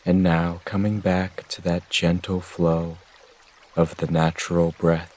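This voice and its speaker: Slow, low-voiced man guiding meditation. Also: little pitch movement. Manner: gentle, measured, soothing instruction. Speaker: meditation guide. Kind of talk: guided meditation narration.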